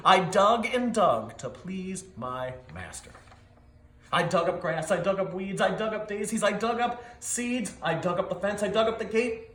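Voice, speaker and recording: Man reading as an eager, animated storybook dog, echoey. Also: high voice. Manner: expressive, dramatic, rhythmic. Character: big, eager, clumsy dog telling his own story. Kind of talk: animated storybook read-aloud. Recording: echoey, roomy sound.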